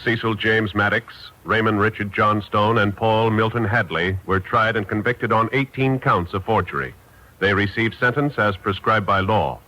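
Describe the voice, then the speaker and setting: Forceful, low-voiced American radio announcer. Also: male voice, normal speed, faint music behind. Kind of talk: formal 1950s radio announcing. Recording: dull, noisy old radio recording.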